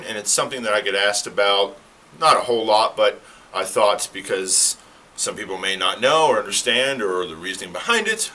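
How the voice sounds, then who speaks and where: A man who is a fitness coach, talking casually to the camera in a rambling, self-correcting way. He sounds slightly hoarse. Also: lowish voice, bright, forceful tone. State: slightly hoarse, clearing throat. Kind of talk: casual explainer talk to camera.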